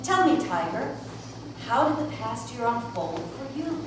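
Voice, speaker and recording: A woman playing a serene, gentle rabbit in a live amateur stage play, speaking in a measured, friendly way. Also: a little high, big pitch swings. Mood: gentle, musing. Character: serene, gentle rabbit, moon-dwelling zodiac animal. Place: live performance in a roomy indoor space. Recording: echoey noisy hall from far off.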